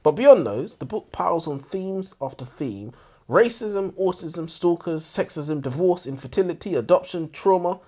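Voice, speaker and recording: A man's voice: a little high, animated, moderate pace, darkish tone. A man co-hosting a book review, giving opinions in an emphatic, scathing way.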